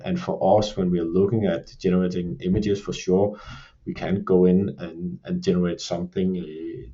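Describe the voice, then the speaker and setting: A Danish man with a Danish accent, explaining production work in a measured podcast discussion and often saying "you can say". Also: deep voice, dark tone, dull audio. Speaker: creative production lead.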